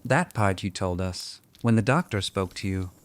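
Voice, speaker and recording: A man playing a calm, fair-minded wizard mediator in an audio drama, measured and reasonable. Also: low voice, no echo, natural voice. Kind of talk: fan audio drama acting.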